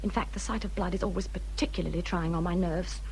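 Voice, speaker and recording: A woman's voice: lowish voice, fairly even intonation, quick pace, a little mellow, a little hiss or hum, lightly acted, low-quality recording. An actress playing a self-pitying young married woman in a period radio drama, with a genteel English accent and a fretful, aggrieved manner. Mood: self-pitying complaint.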